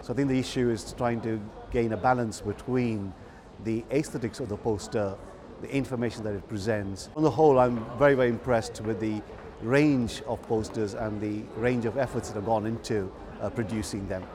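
A middle-aged man, a dean judging posters, speaking in a measured way with some hesitant fillers. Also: on the low side. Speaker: dean of graduate studies, poster judge. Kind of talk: short interview at an event. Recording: chattering crowd behind.

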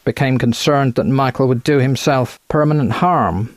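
A man's voice: mid-range voice, normal speed, no echo, studio quality, voice pushed up, close to an everyday voice. A man voicing an eager, chatty American physician with a quacking voice and folksy, clipped phrases in an audiobook. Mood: brisk, earnest. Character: thin, eager American physician running a sanitarium.

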